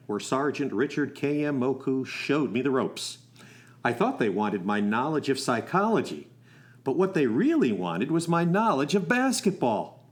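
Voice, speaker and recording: A man acting as a young driving instructor and soldier, telling his love story in a wry, folksy way for a radio play. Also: medium pitch. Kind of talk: first-person radio storytelling with acted dialogue.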